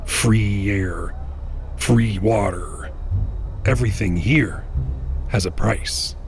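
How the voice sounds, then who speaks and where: An actor playing a sly, condescending corrupt inspector in a sci-fi audio drama, folksy and smug with quiet menace. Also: male voice, on the low side, slow, a little hiss or hum. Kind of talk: science fiction audio drama acting.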